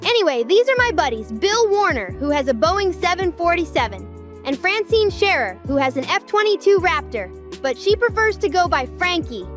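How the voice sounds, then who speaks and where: Woman doing a really high kid voice, helpful and explainy, cartoon, faint music. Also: big pitch swings, normal speed. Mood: matter-of-fact. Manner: tidy, explanatory. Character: helpful, matter-of-fact kid who flies model planes. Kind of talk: cartoon comic dub acting.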